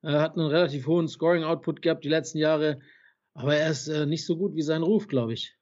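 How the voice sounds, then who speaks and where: A man's voice: fairly high pitch, fairly even intonation, fast talker, darkish tone, highs cut off. A man in a casual podcast chat, passionately reeling off sports stats, swearing now and then for emphasis, with many fillers and tag questions. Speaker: podcast co-host. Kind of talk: casual basketball debate.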